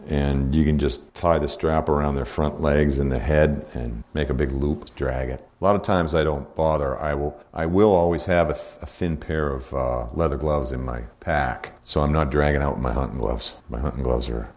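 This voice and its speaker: An older man with a very deep, low voice talks in a relaxed, plainspoken way, often doubling back and restarting his words. He sounds like a seasoned hunter taking questions in casual conversation. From New Hampshire, USA. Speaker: deer hunting guest expert. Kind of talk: casual answers to listener questions.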